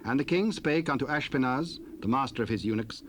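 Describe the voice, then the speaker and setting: A man narrating a dramatized Bible reading in a measured, solemn way, from an old cassette tape. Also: medium pitch, expressive, noisy background.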